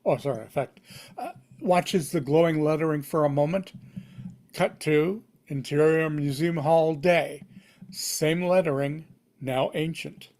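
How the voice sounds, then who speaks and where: A man's voice: on the high side, measured pace, darkish tone. A man reading screenplay stage directions aloud at a table read, in punchy, dramatic fragments.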